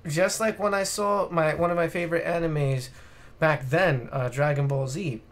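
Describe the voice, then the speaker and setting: A man's voice: on the high side, fairly even intonation, normal speed, a loud crowd. A man co-hosting a casual video game podcast on a live stream, ranting bluntly with plenty of swearing. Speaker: podcast co-host.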